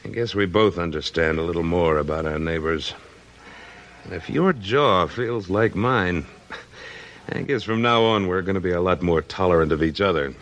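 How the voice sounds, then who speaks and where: A tough but fair cowboy hero, deep american voice, 1950s radio show. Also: male voice, moderate pace. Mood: amiable. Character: rugged, tolerant Old West man, plain-spoken hero. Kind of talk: 1950s radio western acting. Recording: old 1950s radio broadcast recording.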